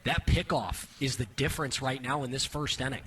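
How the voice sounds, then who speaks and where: Man, radio sports play-by-play, bright projecting tone, short descriptive phrases. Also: mid-range voice, brisk. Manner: clipped descriptive phrases, rising excitement on action. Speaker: baseball play-by-play announcer.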